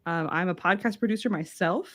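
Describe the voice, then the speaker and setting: A woman's voice: lowish voice, fairly quick, a bit bright, no room sound. A woman from Houston, a guest on a podcast, talking eagerly and telling stories. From Houston, Texas. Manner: enthusiastic, storytelling. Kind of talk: casual podcast chat.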